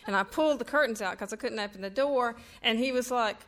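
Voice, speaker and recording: A woman's voice: fairly high pitch, fast talker, a bit bright. A middle-aged woman from North Carolina with a Southern accent, giving a chatty, self-deprecating humorous talk to an audience. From North Carolina, USA. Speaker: author speaking at a reading. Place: event room before a live audience.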